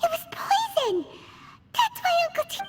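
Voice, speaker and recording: A woman voicing a tiny, excitable, child-like sidekick in a video game. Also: very high-pitched, normal speed, long reverb tail, heavy background noise. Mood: shocked, indignant.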